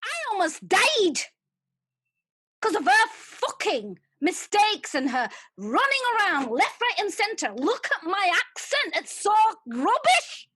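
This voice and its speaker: A woman's voice: very high-pitched, sing-song, takes it slowly, sharp tone, dry recording, exaggerated voice. A woman playing a coarse, furious female alter who swears and rants in a solo stage monologue. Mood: furious ranting. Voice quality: swearing, brash. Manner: ranting, mocking.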